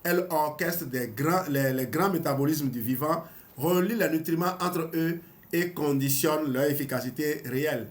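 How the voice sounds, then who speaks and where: Host, man, 50s: somewhat high voice, reads from a book then comments loosely with restarts. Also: normal speed. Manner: hesitant, with restarts and small laughs. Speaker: health channel host. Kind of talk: reading a book aloud with commentary.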